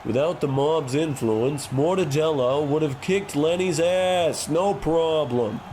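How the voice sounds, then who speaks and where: Man as a highish-voiced, stubborn do-gooder boxer. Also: slow, a little mellow, slight background noise. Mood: smug. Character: meddling boxer, stubborn do-gooder who turns pleading. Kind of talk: comedic radio play acting. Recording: faint background ambience.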